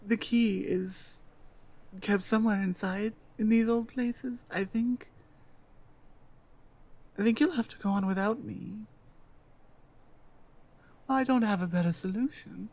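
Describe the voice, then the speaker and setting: An earnest and self-doubting female healer-warrior acted by a woman for a fantasy ASMR roleplay. She speaks slowly at a medium pitch, often halting and restarting as she talks to the companion she is loyal to. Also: a little mellow. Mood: anxious. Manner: hesitant, halting, with stammered restarts. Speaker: audio roleplay performer.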